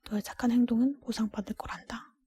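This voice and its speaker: A gracious storybook noblewoman voiced by a woman reassures in a whisper. The voice is mellow and very breathy, full of benevolence. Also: fairly high pitch, steady tone, fast, no room sound. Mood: reassuring. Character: benevolent noble lady in a fairy-tale dream.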